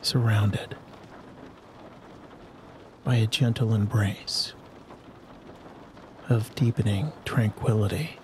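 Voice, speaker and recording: A man narrating a guided sleep hypnosis in a soothing, unhurried manner with long pauses. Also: on the low side, fairly even intonation, darkish tone, a little hiss or hum. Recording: rain and thunderstorm sounds behind the voice. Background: rain and distant thunder ambience.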